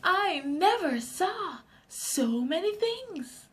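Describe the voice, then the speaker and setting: A woman with a Jamaican accent voicing an excited, delighted little girl in a children's story, eager and exclaiming. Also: extremely high pitch, very slow, voice pushed up, exaggerated voice.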